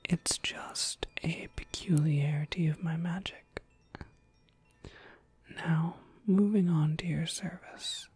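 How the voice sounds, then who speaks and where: Woman acting as slow, whispering witch shopkeeper. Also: low-pitched, fairly even intonation, exaggerated voice. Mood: courteous, businesslike. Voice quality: soft, breathy whisper. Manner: measured, polite, gently explanatory. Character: courteous, businesslike witch shopkeeper who crafts spells. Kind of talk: whispered ASMR roleplay.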